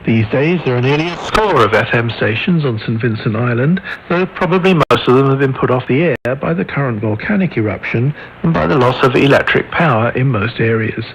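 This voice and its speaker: A man presenting scripted radio history in a measured, formal announcer's delivery, heard over shortwave radio. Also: medium pitch, lively intonation, muffled recording. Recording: noisy shortwave radio reception.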